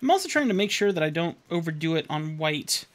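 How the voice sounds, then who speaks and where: A man's voice: a little high, very animated, a little fast. A man in his thirties streaming live as he paints, rambling in a self-deprecating way and chuckling often, talking into a headset in a home studio. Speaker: caricature artist streaming. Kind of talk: casual live-stream narration while painting. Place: home studio room indoors.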